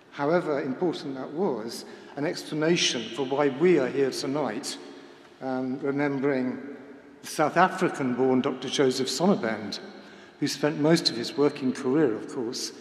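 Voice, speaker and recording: An older man gives a prepared memorial tribute in a measured, formal, literary manner, speaking in a large church. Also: mid-range voice, darkish tone. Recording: echoey church hall with long reverb.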